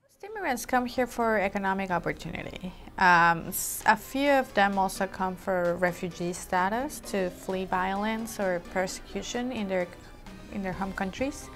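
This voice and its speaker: A woman interviewee explains things in a plain, mid-pitched voice. She stumbles now and then with fillers and restarts, and soft music sits faintly behind her. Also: normal speed. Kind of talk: interview answer.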